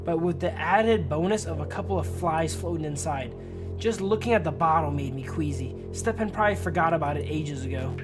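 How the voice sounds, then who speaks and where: A woman's voice: sits low, a little fast. A woman live-streaming a game, reading its text aloud and adding casual, slangy commentary. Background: music.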